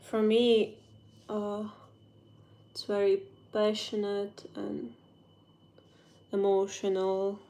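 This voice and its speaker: Slow-talking Ukrainian-accented young woman. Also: mid-range voice, level tone, warm tone. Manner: halting, searching for English words. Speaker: livestreamer. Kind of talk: casual livestream chat while doing makeup.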